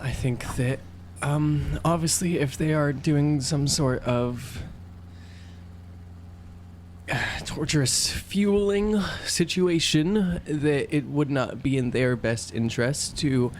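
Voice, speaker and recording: A man playing a tabletop game, thinking aloud in halting, casual in-character discussion. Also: on the high side. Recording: faint hum, slight background noise.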